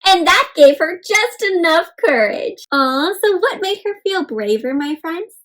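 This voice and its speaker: A woman's voice: extremely high pitch, unhurried. A woman reading a storybook aloud to young children, expressively and interactively, with encouraging questions in simple words. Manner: expressive, gasps and asks listeners questions. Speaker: read-aloud host.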